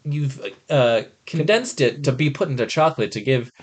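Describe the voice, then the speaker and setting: A man's voice: mid-range voice, normal speed, a little hiss or hum. American man ranting casually, incredulous and sweary. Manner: animated incredulous ranting with mock quotes and swearing. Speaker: podcast co-host. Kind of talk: casual film review chat.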